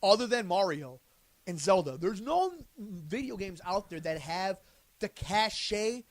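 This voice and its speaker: A man's voice: sits high, expressive, unhurried. A man co-hosting a podcast, arguing his points in casual chat, emphatic and often restarting his sentences. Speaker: podcast co-host.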